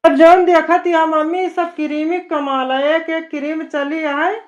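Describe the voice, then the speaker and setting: A performer voicing an eager, bragging young village son-in-law in an Awadhi cartoon comedy, pleading and respectful, in a pitched-up voice. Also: level tone, a little slow. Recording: dull lo-fi cartoon soundtrack.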